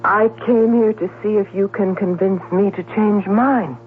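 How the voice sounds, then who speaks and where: A woman's voice: mid-range voice, steady tone, measured pace, close to an everyday voice. An actress in a 1970s radio drama playing a cool, tipsy, menacing woman who speaks with mocking precision. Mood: sardonic. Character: cool, sardonic, tipsy woman threatening murder at gunpoint. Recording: tinny, noisy old radio broadcast.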